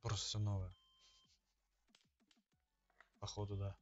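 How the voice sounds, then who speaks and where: A man's voice: on the low side, monotone, takes it slowly, darkish tone, soft background music. A man giving casual let's-play commentary as a gaming host, talking informally and slangily as he thinks aloud. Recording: dull lo-fi microphone sound.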